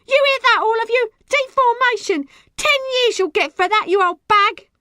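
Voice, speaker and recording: A woman voicing a feisty, indignant working-class girl in an audiobook, shouting and jeering in slangy speech. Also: extremely high pitch, moderate pace, no room sound, clean studio sound, voice pushed up. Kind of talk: audiobook character acting.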